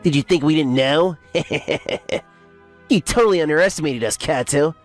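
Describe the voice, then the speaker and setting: A woman's voice: low voice, moderate pace, soft background music, natural voice. A woman playing a smug, scheming office villainess in an English manga dub, with a taunting manner. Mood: smug, mocking. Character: scheming, gloating female office villain, mockingly sweet.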